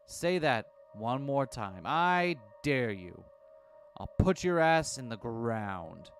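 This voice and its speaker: A man's voice: medium pitch, animated, faint music behind, lightly acted. A man voicing a snarling gang boss. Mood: furious. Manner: snarling, slow menacing threats. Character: hot-tempered young male gang leader.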